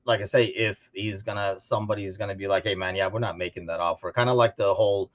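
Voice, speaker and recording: A man co-hosting a casual sports podcast, talking informally and thinking out loud as he discusses. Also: on the low side, steady tone, fast talker, lo-fi sound. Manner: informal, conversational, thinking aloud. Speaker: podcast co-host.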